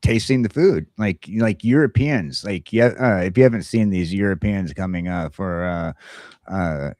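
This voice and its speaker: An American man co-hosting a casual morning podcast, talking in a rambling, opinionated, halting way. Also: deep voice, a little mellow, no echo, clean studio sound. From United States. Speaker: talk show co-host.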